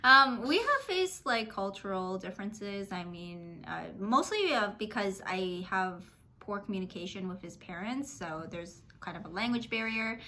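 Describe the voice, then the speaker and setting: A young woman from Canada, in her twenties, talking casually as a guest with plenty of ums and likes. Her voice is bright and clear at a middling pitch. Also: expressive, normal speed, few pauses. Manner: chatty, many fillers. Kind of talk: casual interview chat.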